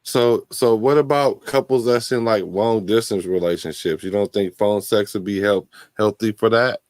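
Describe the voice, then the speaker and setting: A man's voice: on the low side, moderate pace, a little mellow, no room sound. Laid-back joking male host. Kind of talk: casual chat.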